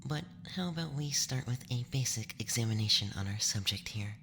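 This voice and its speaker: A man voicing a calm, reassuring alien researcher in a whispered ASMR roleplay, measured and clinical with a faint menace. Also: medium pitch, background noise, lightly acted. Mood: clinical, curious.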